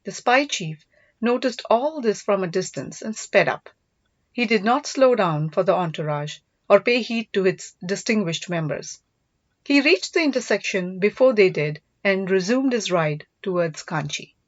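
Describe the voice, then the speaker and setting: A woman narrates an audiobook with a lively, swinging intonation. She reads scripted story prose at a medium pitch. The recording is dry and somewhat muffled, with little room sound. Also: normal speed, no echo. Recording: dull, lo-fi, dry close recording.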